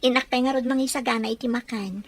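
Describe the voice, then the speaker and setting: A woman's voice: fairly high pitch, fairly even intonation, lightly acted. A woman playing a sweet, fussing fiancée. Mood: affectionate. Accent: Ilocano. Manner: sweet, fussing, quick and playful. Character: affectionate young bride-to-be.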